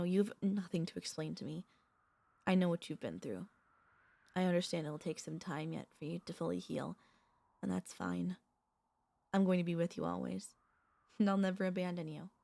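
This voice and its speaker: A woman playing a possessive, doting royal vampire mistress in an intimate ASMR audio roleplay, speaking in a tender, teasing, halting way with a creaky voice. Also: fairly low pitch. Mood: devoted, possessive. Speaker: voice actor and vtuber.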